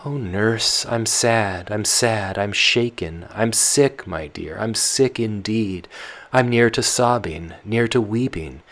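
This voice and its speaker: A man's voice: fairly low pitch, slow pace, a bit bright, voice pushed up. A man voicing a restless, lovesick young noble girl in a verse story, pleading and breathless.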